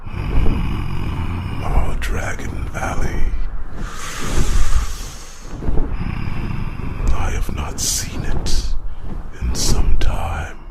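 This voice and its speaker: A man voicing a huge, proud, protective dragon with a growling, breathy voice, telling his tale solemnly with dramatic pauses in an ASMR fantasy roleplay. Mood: somber, resolute. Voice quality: very deep, gravelly, breathy growl. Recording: loud rushing ambient noise over voice.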